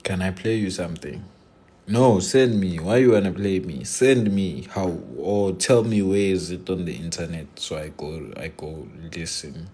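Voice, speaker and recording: A man's voice: deep voice, moderate pace, warm tone, breathy edge. A young South African man from Limpopo, chatting casually on a live stream, joking and mixing English with Zulu slang. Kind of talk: casual live stream chat answering viewers.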